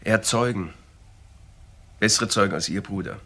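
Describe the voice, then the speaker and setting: An actor playing a direct, insistent investigator in a German crime radio drama. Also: male voice, on the low side, normal speed, bright, forceful tone.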